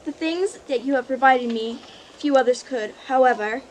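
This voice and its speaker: Actress as young sweetheart, sorrowful, resolute, formal, fairly high pitch, radio play, slight background noise. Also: female voice, normal speed, natural voice, rough recording. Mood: sad, resolute. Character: sorrowful, resolute young woman leaving her greedy fiance. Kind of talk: live-audience radio play acting.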